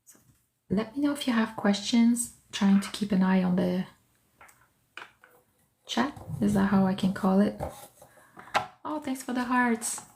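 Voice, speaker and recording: A woman's voice: medium pitch, a little slow, vocal fry, pauses often, a little mellow, slight background noise. A woman whose first language is French, chatting casually on a livestream from her art studio, greeting viewers by name and thinking aloud as she works. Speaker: artist hosting a livestream. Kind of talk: casual livestream chat while working.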